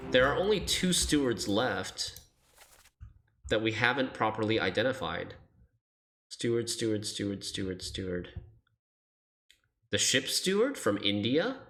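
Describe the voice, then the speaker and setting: Lively, unhurried streamer thinking aloud. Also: mid-range voice. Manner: thinks aloud, animated, self-deprecating. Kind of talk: live gameplay commentary.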